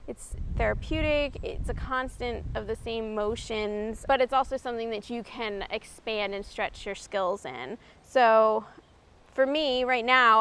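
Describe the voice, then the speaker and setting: A woman in her thirties from Maryland, a fiber artist explaining her craft in a relaxed, chatty way with frequent ums. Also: on the high side, creaky, smooth. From Maryland, USA. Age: 30s.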